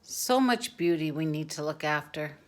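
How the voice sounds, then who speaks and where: A woman's voice: deep voice, animated, moderate pace. A woman telling a picture-book story informally, with conversational asides to young listeners.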